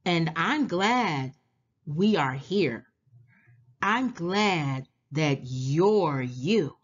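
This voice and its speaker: Slow, expressive woman reading a storybook. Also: deep voice, breathy edge, lo-fi sound. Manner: deliberate, animated, with drawn-out words and pauses. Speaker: children's book read-aloud host. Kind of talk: expressive storybook read-aloud for young children.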